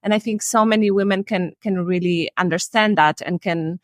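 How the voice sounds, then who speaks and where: A woman's voice: on the low side, normal speed, no echo. Earnest Polish-accented woman, dry sound. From Poland. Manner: earnest, reflective, slightly non-native phrasing. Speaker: life and relationship coach guest. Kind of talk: candid personal storytelling. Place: home room, indoors. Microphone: video call.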